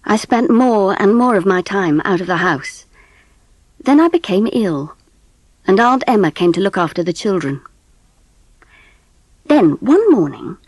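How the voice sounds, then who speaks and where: A woman playing a gentle middle-class mother who narrates her family's story in a dramatised audiobook. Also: mid-range voice, no echo. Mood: sombre, steady.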